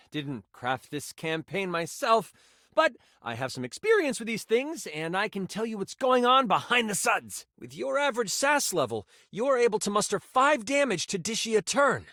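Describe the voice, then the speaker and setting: A man's voice: high-pitched, sing-song, normal speed, dry recording, studio quality, full character voice. An actor playing a living twenty-sided die in a video game, a theatrical, nerdy male game master who narrates adventures and banters. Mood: explanatory. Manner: theatrical, swinging from exasperated outbursts to hushed storytelling.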